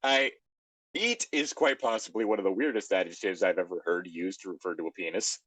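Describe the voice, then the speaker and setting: A man's voice: low voice, fairly quick, a bit bright, breathy edge, no room sound. A man co-hosting a show in casual chat, rambling wryly as he reacts to a story. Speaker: co-host of a fan fiction reading show.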